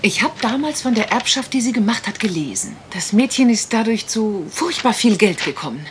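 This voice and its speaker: A woman's voice: a little high, sing-song, normal speed, noisy background. A woman acting a shrewd, decisive, suspicious detective in a German radio crime drama, speaking with emphatic assurance.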